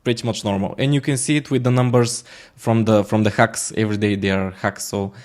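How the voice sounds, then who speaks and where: A Bulgarian man talks through a casual interview in English, his second language. His voice sits on the low side, and he keeps restarting with "uh" and "um" as he goes. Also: fairly even intonation, brisk, a little mellow. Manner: rambling, many fillers and restarts. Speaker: guest, smart contract auditor.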